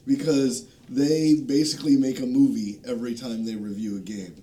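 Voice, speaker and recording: A man chatting casually as a panel guest, recommending things in a halting way, with plenty of ums and pauses. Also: fairly even intonation, mellow. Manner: hesitant, halting delivery with frequent ums and long pauses. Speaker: guest, game designer. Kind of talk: casual panel chat, recommending video channels. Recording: low rumbling background noise.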